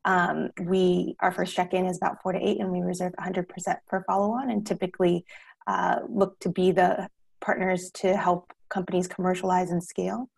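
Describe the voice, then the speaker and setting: A woman's voice: on the low side, little pitch movement, moderate pace, gravelly, husky. A young American woman introducing herself as an investor on a panel. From Texas, United States. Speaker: panelist, growth equity investor.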